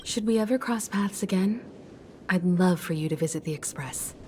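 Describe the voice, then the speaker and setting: An actress playing a composed, courteous strategist leading a crew in a video game, formal and articulate. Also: female voice, on the low side, normal speed, a little mellow, a little hiss or hum, natural voice. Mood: gracious, resolute. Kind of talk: measured video game dialogue.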